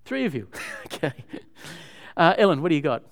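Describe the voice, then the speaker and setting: A man's voice: fairly high pitch, big pitch swings, fairly quick, gravelly. A man leading a Bible study, explaining scripture to a group in a conversational way and asking them questions. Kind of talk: interactive Bible teaching.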